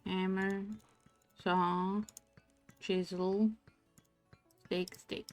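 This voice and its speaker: A German woman with a mixed English accent, streaming a game and thinking aloud in casual, low-energy chat. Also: flat delivery, clean tone, faint music behind. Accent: mixed English accent, no clear origin. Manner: slow, thinking aloud while playing, low-energy murmurs.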